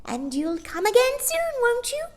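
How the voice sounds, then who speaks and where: A woman voicing a tiny, bossy old shopkeeper woman in a storybook, with a shrill, squeaky, cackling voice that swings between scolding and cooing. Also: sing-song, measured pace, full character voice. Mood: sly, pleased.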